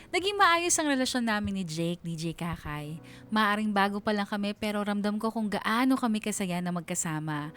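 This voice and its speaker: Radio story narrator, played by an actress: young Filipino woman, gushing and conversational, medium pitch, music bed. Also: expressive, moderate pace, a bit bright, clean tone, lightly acted. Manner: chatty, gushing. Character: giddy, lovestruck young woman telling her story. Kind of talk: dramatized letter narration.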